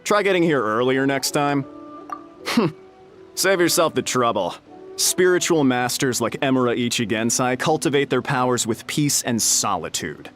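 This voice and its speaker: A man's voice: medium pitch, expressive, moderate pace, a bit bright, faint music behind, lightly acted. A man voicing a smug clinic doorman who turns visitors away, in a video game. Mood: dismissive. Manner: dismissive, chuckling.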